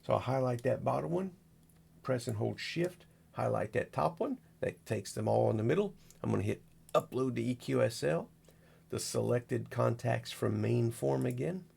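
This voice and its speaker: Gravelly, husky man talking through a demo. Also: mid-range voice, darkish tone. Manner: folksy, rambling, narrates each click as he goes. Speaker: amateur radio operator, video host. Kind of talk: casual unscripted screen walkthrough.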